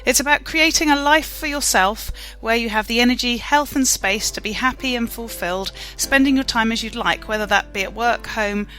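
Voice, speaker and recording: A woman's voice: fairly high pitch, moderate pace, sharp tone. A woman hosting a podcast, reading a scripted show introduction. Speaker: podcast host. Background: music.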